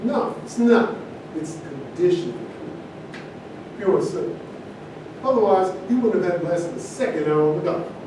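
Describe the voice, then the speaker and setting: A distant, noisy recording with heavy echo carries an actor as a provocative, argumentative man with a high voice. He declaims his opinions as in a stage play. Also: very animated, normal speed, pauses often. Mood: opinionated. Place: echoey room at a live staged reading. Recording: distant mic, very echoey room, noisy rumble.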